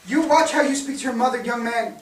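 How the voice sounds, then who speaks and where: A man's voice: very high-pitched, a little fast. A man on stage playing a worn-down, stern father who has lost his job and speaks with resignation. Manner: hesitant, resigned, turning stern. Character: worn-down, stern laid-off father of a 1930s-style household. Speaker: stage actor. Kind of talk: staged reading of a period family drama. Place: indoor theatre stage, recorded from afar. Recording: roomy theatre, distant mic, hissy background.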